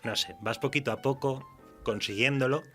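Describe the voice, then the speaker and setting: A man's voice: mid-range voice, normal speed, sharp tone, faint music behind. A man with an accent from Spain chatting casually and informally as a guest writer in an interview.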